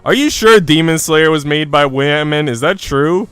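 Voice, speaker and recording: A man's voice: on the high side, lively intonation, moderate pace, a bit bright, smooth, no room sound, soft background music. A man live streaming a game, chatting casually in a slangy, profane, joking way. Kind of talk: casual chat while streaming a visual novel.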